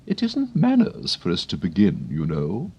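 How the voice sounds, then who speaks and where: A man's voice: sits low, huge pitch swings, moderate pace, darkish tone, breathy edge, heavily acted. A man reading a storybook and voicing a prim, condescending female rose flower in a haughty, severe manner.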